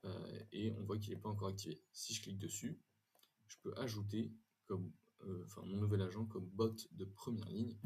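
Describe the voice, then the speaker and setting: A man presenting an informal software demo, speaking in a halting way with frequent hesitations and self-corrections. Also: on the low side, breathy edge. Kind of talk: informal screen-share software demo and review.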